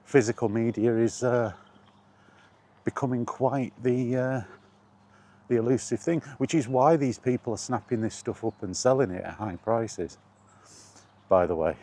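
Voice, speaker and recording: A man's voice: medium pitch, measured pace, long pauses, mellow. A British man giving a rambling, casual vlog chat in a blunt, opinionated manner. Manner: opinionated, blunt, mildly sweary. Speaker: video blogger.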